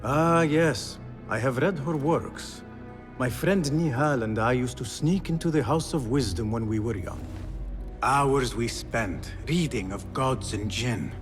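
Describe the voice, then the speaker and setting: An actor brings to life a guarded young assassin who talks slowly and carefully. His voice sits at an ordinary middle pitch. Game score plays clearly behind him. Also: over music, natural voice. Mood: calm. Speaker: game protagonist. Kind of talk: video game cutscene acting.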